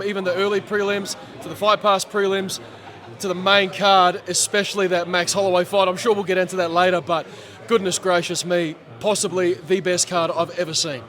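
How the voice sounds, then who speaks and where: Male MC, high-pitched, hoarse, animated guest in a sports bar chat. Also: fairly quick. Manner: animated, chatty, laughs often. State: losing his voice. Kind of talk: post-fight reaction interview.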